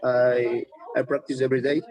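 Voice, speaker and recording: A man's voice: medium pitch, level tone, normal speed, crowd noise. A young man from Ecuador, a mining engineering student, introducing himself carefully and formally on a video call.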